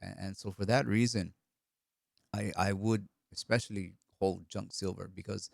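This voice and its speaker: A middle-aged man with a cold, from Hawaii, hosts a relaxed live stream. His low voice has a gravelly, croaky edge. The sound is dry and close, and he rambles with frequent restarts. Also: normal speed, no room sound. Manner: rambling, repeats words. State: hoarse with a cold. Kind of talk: casual live stream chat answering comments.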